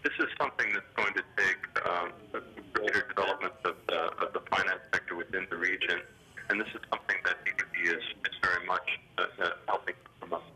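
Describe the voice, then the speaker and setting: A man giving formal, hesitant economic commentary in a phone interview, speaking in a measured, official way over a telephone. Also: low-pitched, steady tone. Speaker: development bank official being interviewed. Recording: sharp, grainy telephone line.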